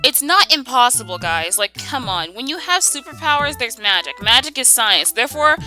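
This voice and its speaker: A woman's voice: a little high, very animated, moderate pace, bright, forceful tone. A woman co-hosting a fan commentary video, chatting casually and arguing her points with emphasis. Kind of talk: casual debate among friends. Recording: music behind the voice. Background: background music.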